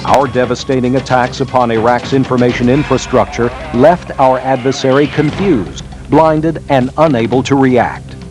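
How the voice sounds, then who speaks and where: Male military documentary narrator over music. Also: medium pitch. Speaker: narrator of a military training film. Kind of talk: formal scripted documentary narration. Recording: 1990s training film soundtrack. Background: background music.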